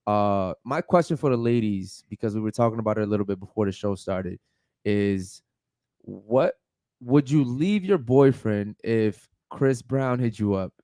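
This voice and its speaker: A man's voice: on the low side, expressive, measured pace, mellow, dry recording, studio quality. A man co-hosting a podcast, loosely calling out sponsors and asking the panel questions. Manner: loose, easygoing, lots of "man" and "uh". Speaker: podcast co-host. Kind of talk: casual podcast chat, reading shout-outs.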